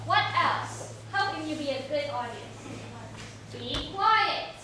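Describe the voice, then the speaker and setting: A woman's voice: high voice, expressive, takes it slowly. A woman teaching an English lesson to a young class, asking simple questions, repeating words and praising answers in an encouraging way. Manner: simple instructional phrasing with questions and repetition. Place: echoey classroom, mic at a distance. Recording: very echoey room, distant mic, faint noise.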